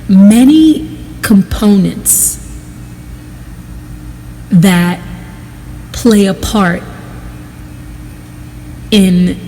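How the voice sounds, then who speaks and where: A woman giving guided meditation instruction in a measured, deliberate way, pausing between short phrases. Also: mid-range voice, gravelly, warm tone, in a large hall, slight background noise, rough recording.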